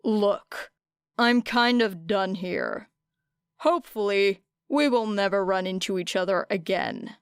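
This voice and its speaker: A woman voicing a gruff, irritable male vigilante who mutters curt, foul-mouthed lines in an audiobook. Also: mid-range voice, slow, no room sound, clean studio sound. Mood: guarded, curt. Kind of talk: audiobook character dialogue.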